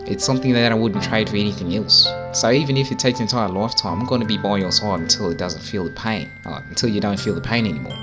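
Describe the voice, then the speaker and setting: A man's voice: on the low side, fast, few pauses, close to an everyday voice. Guy reading as an earnest protective young guy in a dating game, dramatic, music behind. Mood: earnest, devoted. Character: earnest, protective teenage boy comforting his friend.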